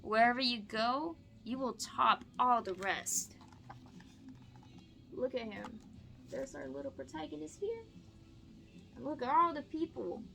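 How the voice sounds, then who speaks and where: A woman teaching through a picture-book read-aloud, moving between expressive storybook reading and casual explanatory asides. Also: high voice, measured pace, gravelly, long pauses, soft background music.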